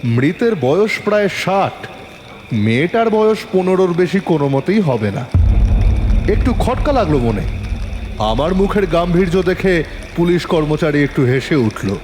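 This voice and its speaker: A male storyteller reads scripted first-person fiction in a fairly high voice. His delivery is dramatic and very animated in pitch. A faint layer of outdoor urban ambience with traffic sits underneath. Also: a little slow. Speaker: narrator and lead actor of an audio story.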